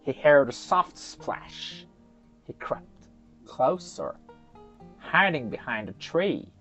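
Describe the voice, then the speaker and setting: Expressive, animated storyteller over soft guitar music. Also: medium pitch, takes it slowly. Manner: expressive, theatrical storytelling with chatty asides. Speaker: storyteller and host. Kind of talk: children's story narration with casual asides. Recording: slightly dull, lo-fi microphone sound.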